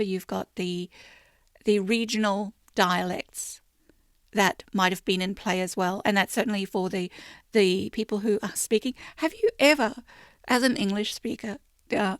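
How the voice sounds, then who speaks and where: A woman's voice: mid-range voice, dry recording. Chatty woman teaching spirituality, dry sound. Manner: chatty, lots of fillers and restarts; soft, hushed reading of prayer lines. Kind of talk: informal spiritual talk.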